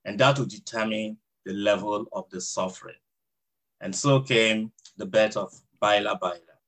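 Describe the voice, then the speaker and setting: A man with a Nigerian accent, a hospital chaplain, answering interview questions in a reflective, measured way with frequent fillers. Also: fairly low pitch, fairly bright tone, rough recording.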